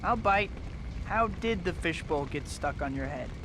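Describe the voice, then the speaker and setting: A man's voice: a little high, animated, measured pace, very noisy. A man voicing a young, self-deprecating, sarcastic superhero who makes playful quips in a video game. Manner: sarcastic, quippy.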